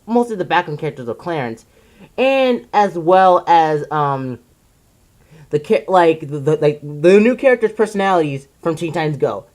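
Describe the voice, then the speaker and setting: A person giving casual, opinionated commentary as a video reviewer, in an exasperated, emphatic ranting manner with drawn-out stressed words. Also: medium pitch, darkish tone. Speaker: video reviewer presenting a countdown list.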